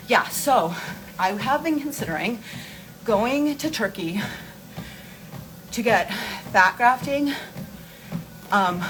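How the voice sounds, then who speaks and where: A woman of about forty talks to her followers in a casual live-stream style, at a middle pitch. She is candid and informal, repeating words for emphasis. The audio carries clear noise behind her voice. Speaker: host of a beauty and wellness live stream.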